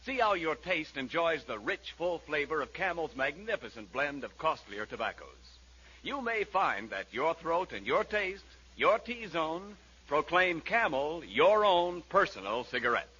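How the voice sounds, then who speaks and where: A man's voice: a little high, very animated, measured pace, pushed and bright, husky. A man announcing in the polished, persuasive style of a 1940s radio commercial. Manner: polished, persuasive salesman delivery. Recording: muffled 1940s radio recording with music.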